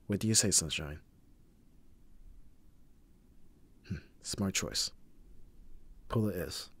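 Man acting husky, gruff protective bodyguard. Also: low-pitched, measured pace, fairly bright tone, exaggerated voice. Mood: frustrated, reassuring. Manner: sardonic, abrasive yet reassuring. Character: gruff, teasing, protective young male bodyguard. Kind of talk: ASMR roleplay audio drama.

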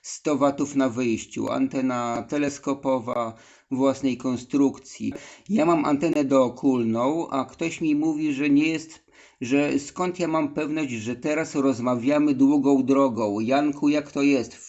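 A man's voice: medium pitch, steady tone, a little slow, a little mellow, lo-fi sound. A man from Poland, an amateur radio operator, talking casually during a radio contact.

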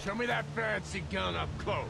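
A man playing an aggressive, taunting raider thug in a video game, calling out over loud gunfire. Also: high-pitched, normal speed, creaky, heavy background noise. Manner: taunting, shouted. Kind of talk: game combat barks.

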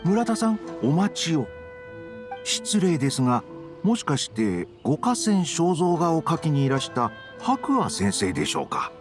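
A man's voice: fairly high pitch, wildly swooping pitch, a little slow, over music. A man playing a nervous, deferential publishing editor in an anime game, speaking formally and apologetically. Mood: polite, apologetic.

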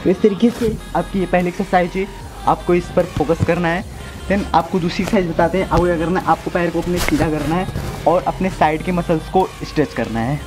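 A man in his twenties talks viewers through a home workout in a fairly high voice. He is casual and instructive, repeating key phrases as he demonstrates. Music plays clearly behind him. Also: fairly quick, poor audio. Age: 20s. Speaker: amateur home fitness instructor. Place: outdoors in a brick-walled yard or rooftop.

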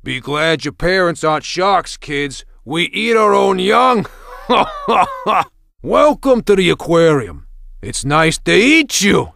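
A man's voice: high voice, huge pitch swings, slow pace, gravelly, pushed and bright, no echo, heavily acted. A man voicing an aquarium shark mascot in a video game, a cheesy showman with a lonely, weary side, in an exaggerated theatrical delivery. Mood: cheesy, menacing cheer. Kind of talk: video game character voice acting.

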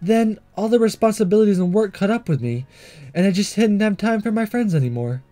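A man voicing a shy, earnest, studious teenage schoolgirl with a soft, hesitant manner, in a visual novel. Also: sits high, lively intonation, a little fast, faint music behind, pitched above the performer's own voice. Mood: downcast. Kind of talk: visual novel dialogue read-along.

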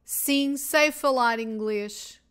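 A woman teacher with a European Portuguese accent, reading simple phrases twice each, clearly and deliberately, for learners. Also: high voice, fairly bright tone. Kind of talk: slow repeated phrase drills for learners.

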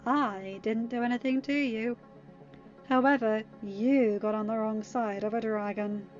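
A woman's voice: fairly high pitch, steady tone, a little slow, muffled recording, soft background music, natural voice. A woman voicing a sly, menacing teenage sea witch in a story reading, taunting and snarling. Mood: sly, mocking.

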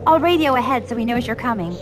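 An actress playing a friendly, excitable young woman who runs a ski chalet in a video game, chatty and expressive. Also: a little high, fast talker, over loud music, natural voice. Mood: concerned. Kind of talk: animated video game voice acting.

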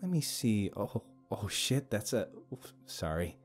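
A man's voice: on the low side, sing-song, a little slow, faint music behind. A man voicing a teasing, informal young woman, a maid in an audio story, with a breezy manner. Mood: apologetic.

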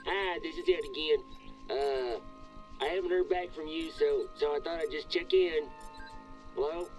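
An actor playing a hesitant, earnest male amateur tinkerer, sheepish and halting on a phone message in a video game. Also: a little high, steady tone, faint music behind, lightly acted, poor audio. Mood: hopeful, sheepish.

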